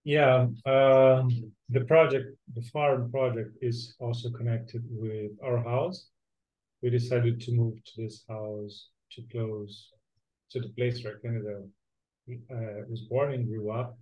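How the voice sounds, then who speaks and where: A Brazilian man speaks English as a second language with a Brazilian accent. His voice is soft-edged and mellow, and the recording sounds a little dull as he talks as a podcast guest. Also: mid-range voice, little pitch movement, takes it slowly. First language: Portuguese. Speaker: podcast guest, philosopher of ecology. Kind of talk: conversational interview answers.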